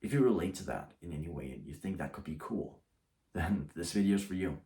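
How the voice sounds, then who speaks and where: A young man speaks casually to camera in a deep, low voice. He rambles, often breaking off and restarting his sentences as he shares his thoughts. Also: lively intonation, a little fast, mellow. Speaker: YouTuber.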